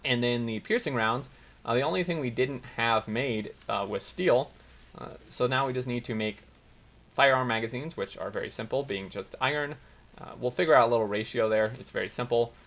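Guy doing a game tutorial, kinda gravelly and a bit raspy, lots of uhs, keyboard clacking behind. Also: medium pitch, a little fast, fairly bright tone. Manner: step-by-step, thinking aloud with many uhs. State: slightly raspy, losing his voice. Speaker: gaming tutorial host. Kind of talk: explaining gameplay while playing.

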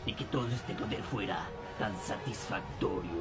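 A man's voice: on the low side, moderate pace, distant mic, loud music behind the voice, voice pushed down, close to an everyday voice. A man with a Costa Rican accent voicing a scheming, power-hungry male robot traitor. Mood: gloating. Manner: gloating, menacing.

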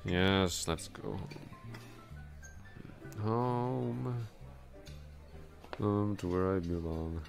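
Casting: male actor as tipsy, smitten male coworker for ASMR roleplay; extremely low voice, slow halting rambles, bashful and self-deprecating. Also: creaky, soft background music, exaggerated voice. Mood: apologetic, woozy. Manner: rambling, halting, repeating himself. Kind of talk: intimate ASMR roleplay acting.

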